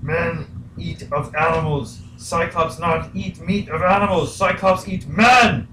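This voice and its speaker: Casting: man as brutish hungry cyclops for a puppet tale, slow menacing broken speech, noisy distant recording. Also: on the high side, close to an everyday voice. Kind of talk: amateur puppet story dialogue. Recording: noisy, echoey room, far from mic.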